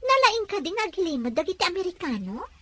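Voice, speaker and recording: A woman's voice: extremely high pitch, very animated, fairly quick, fairly bright tone, a little hiss or hum, exaggerated voice. A woman playing an eager, lovestruck young daughter in a serial drama. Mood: anxious, pleading.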